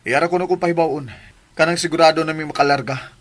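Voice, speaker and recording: A man playing an earnest, cautious elder brother in a Filipino radio drama, with a husky voice and a Filipino accent. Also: fairly high pitch, fairly even intonation, fast, noisy background, lightly acted. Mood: guarded.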